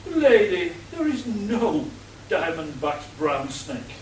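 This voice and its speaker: A man playing a long-suffering butler in an amateur radio play, formal and deadpan. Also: high voice, takes it slowly, heavily acted. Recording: roomy, distant, audible hiss.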